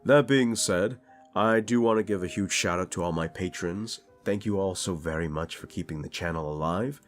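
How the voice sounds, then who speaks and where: Male channel host, deep voice, a little stuffed up, informal and earnest listener address over music. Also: normal speed. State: slight sinus congestion. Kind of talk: casual address to listeners, thanking supporters.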